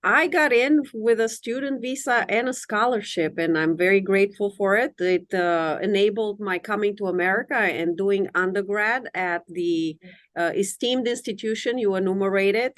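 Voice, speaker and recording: Woman from Romania, middle-aged, immigration lawyer guest, measured formal speech, mid pitch. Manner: measured, articulate, formal phrasing. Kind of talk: interview answers.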